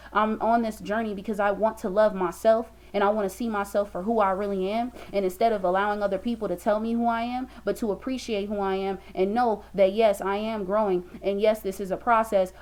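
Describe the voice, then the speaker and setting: A woman's voice: mid-range voice, rough recording. A woman in her twenties giving an emphatic, preachy motivational talk to a webcam in a small room, repeating lines for stress. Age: 20s. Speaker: motivational vlogger.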